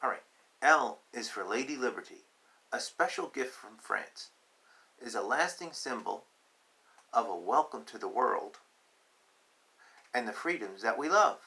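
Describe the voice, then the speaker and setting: Animated man reading a picture book aloud. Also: medium pitch, vocal fry, poor audio. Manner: deliberate, emphatic, pausing between short phrases. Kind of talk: read-aloud to children with casual asides.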